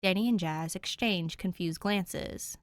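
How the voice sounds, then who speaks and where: Lower-voiced woman reading a story. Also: a little slow, dry recording, studio quality. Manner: even, storytelling. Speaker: podfic reader. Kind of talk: audiobook narration of fan fiction.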